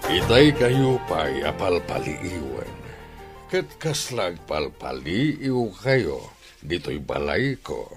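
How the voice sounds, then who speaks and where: A man playing a calm, shrewd older homeowner in an audio drama, speaking deliberately in a husky voice. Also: deep voice, music in the background, exaggerated voice. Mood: commanding.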